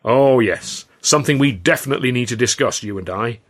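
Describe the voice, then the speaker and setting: A man's voice: mid-range voice, a little fast, natural voice. A man voicing a smug, domineering showman boss with pompous, theatrical speechifying, in an audiobook. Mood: conspiratorial.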